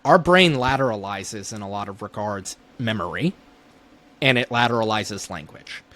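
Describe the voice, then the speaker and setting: A man's voice: on the low side, lively intonation, moderate pace, bright, forceful tone. Man explaining medicine in conversation. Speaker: neurologist, interview guest.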